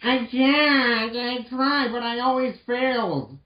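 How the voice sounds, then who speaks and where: A wounded, lovesick girl, played by a woman, speaking slowly and haltingly with gentle melodrama. The voice is on the high side, in an echoey amateur fan fiction recording. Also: a little hiss or hum. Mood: wounded, sad. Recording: muffled, echoey home recording.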